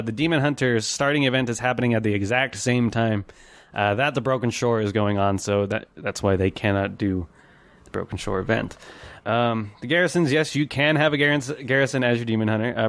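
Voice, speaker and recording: A man with a mid-pitched voice hosts a casual gaming podcast. He explains things in a chatty, conversational way and is quick to be amused. The sound is close and dry. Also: dry recording. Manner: chatty, laughs easily while explaining. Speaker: podcast host.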